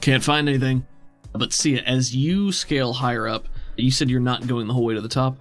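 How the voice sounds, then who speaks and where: A man's voice: mid-range voice, steady tone, fairly quick. A man narrating a tabletop role-playing game as game master, describing things in a conversational, improvised way. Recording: music under the voice. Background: background music.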